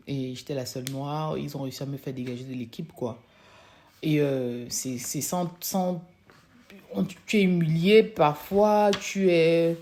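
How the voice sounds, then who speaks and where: A young woman from Africa, a social work student, giving a hesitant personal testimony with many pauses and restarts. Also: low voice, dark tone, slight background noise.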